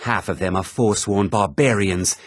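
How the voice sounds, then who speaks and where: An actor playing a haughty, put-upon fantasy townsman in a video game, husky, theatrical and often sarcastic. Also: lowish voice, moderate pace, dry recording, studio quality. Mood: scornful, irritable. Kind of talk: video game character dialogue.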